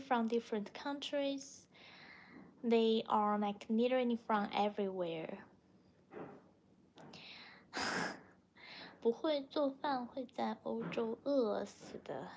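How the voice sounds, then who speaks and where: A woman's voice: on the high side, darkish tone, a little hiss or hum. A young woman from China, a native Mandarin speaker with a Chinese accent, chatting casually as a livestream host and replying to viewers. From Chongqing, China. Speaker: livestream host, language teacher.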